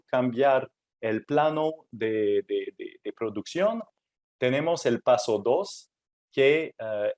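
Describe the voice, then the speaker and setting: Slow, halting non-native Spanish-speaking man. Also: mid-range voice, darkish tone. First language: not Spanish; Spanish is his third language. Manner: halting, many "eh" fillers and restarts, non-native phrasing. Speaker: presenter at a technical workshop. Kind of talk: technical presentation.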